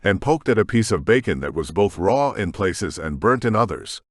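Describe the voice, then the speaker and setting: A man's voice: on the low side, animated, moderate pace, no room sound, clean studio sound. A man reading scripted fiction as an audiobook narrator. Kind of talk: scripted sci-fi story narration.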